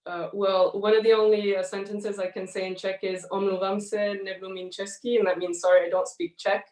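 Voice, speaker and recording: Woman, thirties, parliamentary assistant, flat even delivery, room echo, off-mic. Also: medium pitch, fairly quick. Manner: detailed, frequent fillers. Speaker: assistant to a member of the European Parliament. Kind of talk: online panel discussion. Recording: roomy, distant from the mic.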